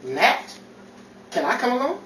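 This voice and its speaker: A man playing an excitable, wisecracking boyfriend in a 1950s-style old-time radio comedy. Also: very high-pitched, measured pace, full character voice. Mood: eager. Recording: roomy, distant, noisy with crowd behind.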